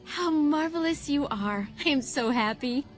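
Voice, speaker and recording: Young elf, fantasy game, fairly high pitch, earnest, formal phrasing, lovelorn, faint music behind. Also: female voice, animated, unhurried. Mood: delighted. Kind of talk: fantasy video game acting.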